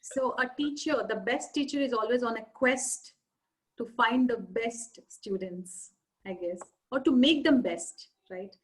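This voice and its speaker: A woman's voice: a little high, measured pace, poor audio. Woman hosting a video-call interview. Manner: frequent short affirmations, sums up guest's points. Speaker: interview host. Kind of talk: friendly interview questions.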